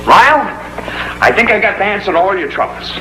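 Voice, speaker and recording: A brash, wisecracking neighbor. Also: male voice, high voice, big pitch swings, a little fast, bright, forceful tone, a little hiss or hum, full character voice, rough recording. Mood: scheming. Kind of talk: 1950s sitcom acting.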